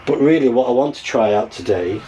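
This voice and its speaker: A middle-aged man chatting casually as he tinkers, halting and thinking aloud, recorded indoors on a camera mic. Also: medium pitch, lively intonation, noisy background. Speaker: amateur radio vlogger. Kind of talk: casual vlog chat while tinkering and unboxing. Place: small wooden cabin, indoors.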